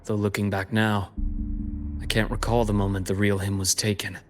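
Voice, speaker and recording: A man playing a composed, cerebral investigator in a game, speaking in measured, deliberate, faintly wry phrases and nursing a quiet old hatred. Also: fairly low pitch, little pitch movement, natural voice. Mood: quietly bitter. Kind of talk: scripted game story dialogue with exposition. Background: background music.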